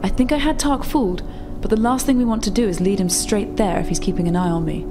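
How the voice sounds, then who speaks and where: A woman playing a brisk, practical rebel contact in a tabletop roleplay, explaining things matter-of-factly. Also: mid-range voice, over music, lightly acted. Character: female rebel contact, brisk, practical, slightly flustered insider technician.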